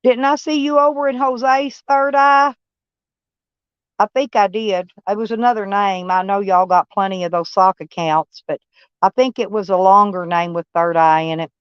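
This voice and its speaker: A woman's voice: mid-range voice, steady tone, moderate pace, clear, resonant tone, no echo, dull audio. A woman with a Texas accent, originally from Kentucky, hosting a live stream and chatting with viewers in a rambling, folksy, blunt way, with some swearing. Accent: Texan. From Kentucky, USA. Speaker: livestream host.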